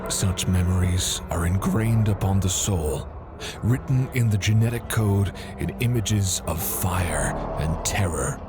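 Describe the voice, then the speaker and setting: Deep, slow, solemn male narrator. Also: loud music behind the voice. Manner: grave, measured. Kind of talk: solemn dramatic scripted narration.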